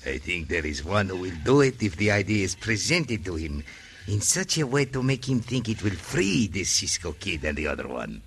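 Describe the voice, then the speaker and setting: A sly, sinister schemer from a vintage radio adventure. The low voice is bright and pointed, choosing formal, measured words, with faint noise on the recording. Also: male voice, big pitch swings, heavily acted. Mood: sly menace. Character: sly, smooth-talking male villain, formal foreigner. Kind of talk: old-time radio adventure acting.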